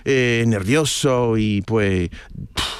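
A man in his forties who speaks Spanish with an English-speaking learner's accent, chatting as an interview guest and often pausing to search for words. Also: fairly low pitch, clean tone, no room sound, studio quality. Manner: hesitant, many restarts and searching for words. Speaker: guest, columnist. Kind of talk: casual interview chat.

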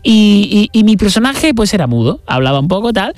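A man with an accent from Spain talking casually as an interview guest, telling stories in an expressive, colloquial way. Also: high-pitched, brisk, no echo. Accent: Spanish from Spain. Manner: chatty, expressive storytelling with colloquial slang. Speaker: interview guest, pianist and actor. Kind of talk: casual reflective conversation.

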